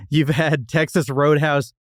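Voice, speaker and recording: A man's voice: fairly high pitch, steady tone, takes it slowly, no room sound, clean studio sound. A man hosting a casual comedic review podcast, talking in a wry, teasing way. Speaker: podcast host.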